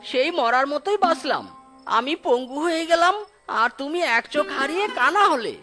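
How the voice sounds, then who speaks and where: A high-pitched cartoon beggar husband, acted out by a performer, speaks in a pleading, lamenting way. He is sorrowful and blames himself, with melodramatic delivery over faint music. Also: lively intonation, moderate pace. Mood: despairing. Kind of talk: melodramatic cartoon story dialogue.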